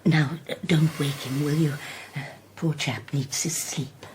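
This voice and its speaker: An actress playing a concerned, motherly older woman in a radio drama, fussing gently. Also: low voice, steady tone, unhurried, noisy background. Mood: hushed fussing.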